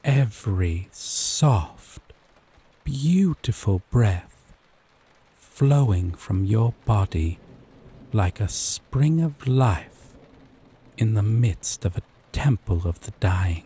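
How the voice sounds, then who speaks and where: Gentle yandere boyfriend, acted by a man: low, very slow, intimate, possessive, rain behind. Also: expressive, slight background noise. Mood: adoring, tender. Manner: slow, halting, tender, breathy pauses. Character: gentle, obsessive, possessive yandere lover from a dating sim. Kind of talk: intimate romantic audio roleplay. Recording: rain and thunder ambience behind. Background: rain, thunderstorm.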